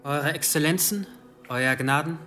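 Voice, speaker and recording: Bright-voiced, deferential valet. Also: male voice, fairly high pitch, unhurried, faint music behind. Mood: deferential, careful. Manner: polite, formal, servile. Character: deferential, loyal valet to a medieval bishop. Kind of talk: radio play acting.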